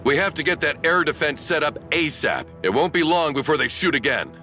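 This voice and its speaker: A man's voice: a little high, lively intonation, fairly quick, bright, forceful tone, faint music behind. A man playing a brash, confident military commander giving orders in a strategy game, with a cocky, commanding manner.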